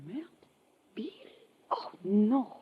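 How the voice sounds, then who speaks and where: An actress playing an affectionate, coaxing femme fatale, intimate and theatrical, in an old-time radio drama. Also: female voice, mid-range voice, lively intonation, slow pace, breathy edge. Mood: shocked. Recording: dull vintage radio recording.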